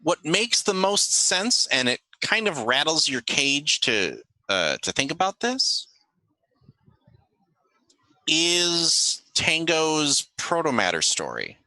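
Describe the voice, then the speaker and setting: A game master running a tabletop game in casual chat, halting and often repeating words while improvising. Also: mid-range voice, big pitch swings, pushed and bright, dry recording, low-quality recording.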